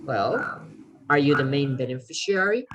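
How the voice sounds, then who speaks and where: Woman reading an eager, low-pitched persuasive crime writer. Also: lively intonation, highs cut off, slight background noise. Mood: pressing. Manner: persuasive, earnest, halting. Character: eager crime writer scheming to save a condemned friend. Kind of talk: amateur readers theater performance.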